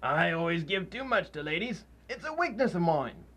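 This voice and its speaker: Man as a high-voiced sly pawnbroker. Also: very animated, moderate pace, pushed and bright, exaggerated voice. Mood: sly, nosy. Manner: wheedling, rough colloquial talk. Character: sly, greedy male pawnbroker, wheedling and mocking. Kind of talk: radio drama acting.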